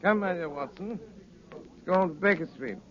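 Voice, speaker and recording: Guy acting confident detective, lightish high voice, theatrical, crackly old radio show. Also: normal speed, close to an everyday voice. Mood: keen anticipation. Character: self-assured male detective, eager and genial. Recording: muffled, crackly 1930s radio recording.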